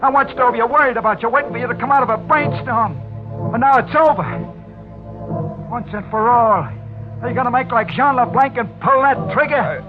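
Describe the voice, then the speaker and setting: Very high-pitched, wounded sidekick. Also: male voice, a little fast. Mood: bitter, urgent. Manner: intense, high-strung, reproachful. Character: Sorrowful, loyal hurt sidekick turning bitter and reproachful. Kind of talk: 1940s radio mystery acting. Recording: tinny 1940s radio recording with music.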